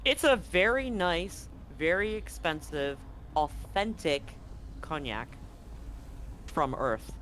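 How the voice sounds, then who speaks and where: A man's voice: a little high, lively intonation, slow, a bit bright, a little hiss or hum. A man acting as game master, narrating a live tabletop roleplaying game and explaining things to players and audience.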